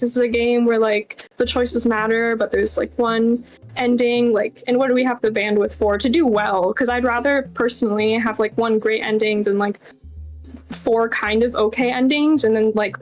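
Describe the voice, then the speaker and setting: A woman's voice: on the high side, low-quality recording. A woman giving casual, chatty interview answers as a game developer, with lots of informal asides. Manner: chatty, informal, many "like" and "you know" asides.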